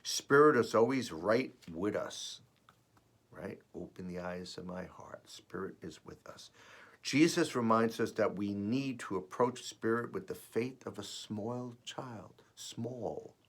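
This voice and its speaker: A man with a raspy, slightly under-the-weather voice, reading and reflecting as host of an earnest spiritual message, with deliberate pauses. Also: on the low side. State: voice rough while recovering from feeling unwell. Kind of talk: devotional reading and personal reflection.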